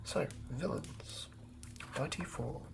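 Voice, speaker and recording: A man doing improvised ASMR in a breathy whisper, unhurriedly murmuring to himself as he works. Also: mid-range voice, animated, slow, heavy background noise. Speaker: ASMR host.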